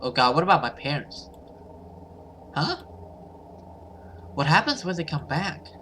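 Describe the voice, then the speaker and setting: A man voicing a frightened, pleading young woman in a horror visual novel, excitable and desperate. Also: a little high, measured pace, a little hiss or hum, heavily acted. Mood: panicked.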